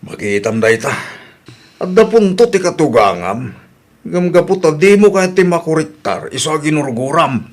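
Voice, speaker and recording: A man's voice: a little high, very animated, moderate pace. A man playing a plain-spoken, advising husband and father in a radio comedy drama, with an Ilocano Filipino accent. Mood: chiding.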